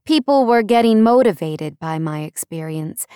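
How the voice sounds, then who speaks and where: A woman's voice: medium pitch, lively intonation, normal speed, mellow, clean tone, no echo, studio quality. A woman narrating a first-person nonfiction audiobook in a conversational way, leaning on key words for emphasis.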